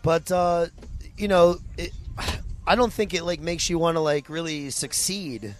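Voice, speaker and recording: A man's voice: a little high, unhurried. A man hosting a casual podcast chat outdoors on a boat, laid-back and teasing. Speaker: podcast host.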